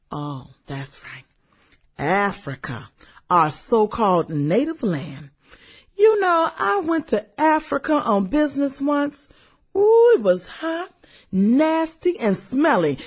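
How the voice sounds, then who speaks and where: A woman's voice: fairly high pitch, breathy edge, exaggerated voice. A woman acting in a satirical radio drama as a vain, gossipy former cheerleader, with a sassy, drawling delivery and theatrical exclamations. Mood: snobbish dismissive.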